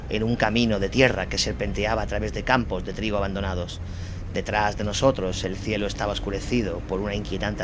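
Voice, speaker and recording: A man's voice: lowish voice, noisy background. A man reads scripted first-person narration of a war story. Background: instrumental music.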